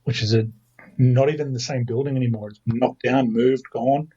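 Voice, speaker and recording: A man's voice: mid-range voice, steady tone, normal speed, mellow, husky, dull audio. A man in his thirties with an Australian accent, a podcast guest chatting casually and joking at his own expense, often restarting his sentences. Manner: chatty, self-mocking, frequent restarts. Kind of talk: casual chat.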